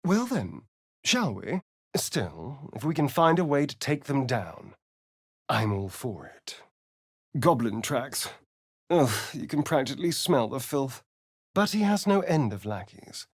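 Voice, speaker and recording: An actor playing a suave, sardonic vampire rogue in a video game, speaking in an arch, drawling, theatrical manner. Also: male voice, mid-range voice, sing-song, a little slow, husky, dry recording, studio quality, full character voice. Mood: wry. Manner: theatrical, arch, drawling, with dramatic swells and hushes. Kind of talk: video game character voice lines.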